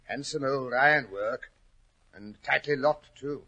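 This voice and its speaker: An actor playing Doctor Watson, a hearty, puzzled, exclamatory English gentleman, in 1940s radio drama style. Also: mid-range voice, unhurried, breathy edge, highs cut off, heavily acted. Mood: curious, excited. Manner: blustery, exclamatory. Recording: old 1940s radio recording.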